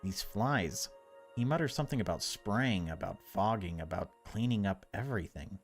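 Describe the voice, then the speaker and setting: A man narrating a horror visual novel in a measured, unhurried way, with casual asides as a gaming video host. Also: on the low side, lively intonation, faint music behind.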